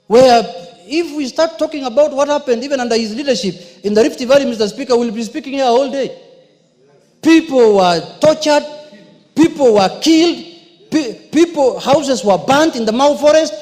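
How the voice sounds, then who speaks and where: Kenyan guy mp, really high voice, indignant debate speech, big echoey hall. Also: normal speed, darkish tone. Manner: indignant, emphatic, repetitive. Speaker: member of parliament. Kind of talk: impassioned parliamentary debate speech. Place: indoor parliament chamber. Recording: echoey large debating chamber.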